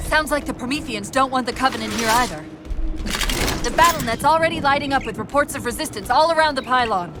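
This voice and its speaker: A woman playing a quick-witted, protective military AI companion in a video game cutscene, speaking with urgency. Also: high voice, expressive, music in the background. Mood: focused, alert.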